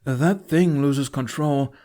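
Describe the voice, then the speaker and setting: Guy doing a gruff worried viking rower, strained grunty voice pitched up, audiobook. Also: slow, mellow, close to an everyday voice.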